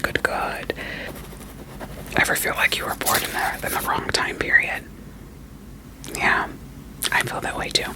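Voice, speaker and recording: A person whispering an ASMR roleplay as a doting, quirky therapist, soothing and reassuring with gentle questions. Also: poor audio. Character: doting, quirky therapist evaluating a vampire client.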